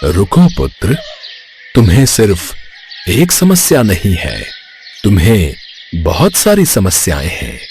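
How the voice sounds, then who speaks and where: A man voicing a kindly old forest hermit in a Hindi moral-story cartoon, speaking in a solemn, measured manner. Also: low voice, wildly swooping pitch, darkish tone, a little hiss or hum, heavily acted. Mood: benevolent, solemn.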